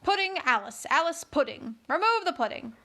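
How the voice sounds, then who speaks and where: A woman voicing a bossy, imperious queen who barks sharp orders, reading a storybook aloud. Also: high voice, sing-song, normal speed, a little hiss or hum, voice pushed up, exaggerated voice. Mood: sulky. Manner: sharp, commanding, loud orders.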